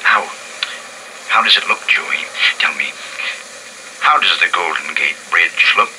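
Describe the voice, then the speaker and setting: A man voicing a frail old grandfather who talks in a slow, halting, wistful way. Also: low-pitched, bright, forceful tone, slight background noise, heavily acted, poor audio. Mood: earnest. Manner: slow, halting, trailing delivery. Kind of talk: audio drama reading.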